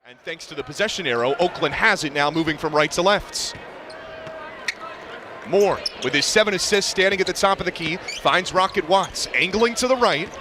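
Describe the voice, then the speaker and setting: A man doing live sports play-by-play with an excitable, punchy delivery. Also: high voice, normal speed. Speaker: play-by-play announcer. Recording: loud arena noise behind commentary.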